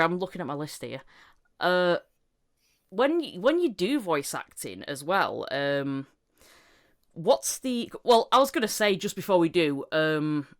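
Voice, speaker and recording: A woman hosts a relaxed podcast conversation in a low voice. Her intonation swings widely, giving her chat an animated, laughter-prone feel. She speaks informally, as one colleague to another. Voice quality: lowish voice. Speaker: podcast host and interviewer.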